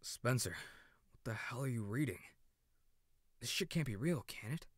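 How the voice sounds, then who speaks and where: A brash, incredulous teenage boy in an audiobook drama, played by a man. His voice moves widely in pitch. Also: expressive, moderate pace, no room sound, lightly acted. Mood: shocked.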